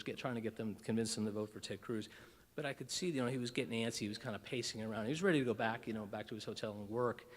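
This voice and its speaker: A man's voice: mid-range voice, in a large hall. A man giving a humorous, self-deprecating keynote speech at a breakfast event, telling stories animatedly with frequent restarts. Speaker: keynote speaker. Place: conference breakfast room.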